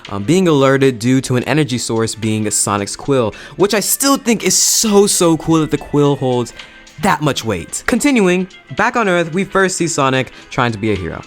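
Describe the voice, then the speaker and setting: A man's voice: on the high side, big pitch swings, normal speed, soft background music. A young adult man giving a casual, enthusiastic and emphatic video movie review in slangy language. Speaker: YouTube reviewer.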